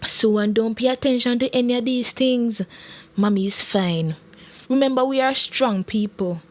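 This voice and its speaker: A woman's voice: a little high, measured pace, close to an everyday voice. A woman voicing a heartbroken, loving mother in a story, emotional and imploring. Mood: tender. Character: heartbroken, loving mother pleading for her family.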